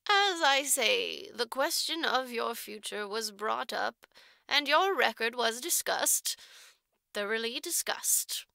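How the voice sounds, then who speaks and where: A woman voicing a prim, condescending orphanage matron in an audiobook, with slow, formal, drawn-out delivery. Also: on the high side, lively intonation, creaky, pushed and bright, dry recording, heavily acted. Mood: stern, measured. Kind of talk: audiobook character voice.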